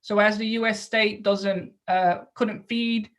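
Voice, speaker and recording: A woman's voice: medium pitch, fairly even intonation, slow. Woman giving an explanatory lecture. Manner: explanatory, with rhetorical questions and check-in tags. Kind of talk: lecture to an audience.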